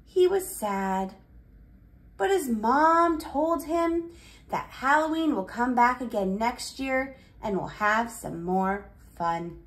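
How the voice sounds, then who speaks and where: Slow, lilting woman reading to toddlers. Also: on the high side, animated. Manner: sing-song, simple, deliberate phrasing with questions. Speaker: children's read-aloud host. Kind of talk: read-aloud for toddlers.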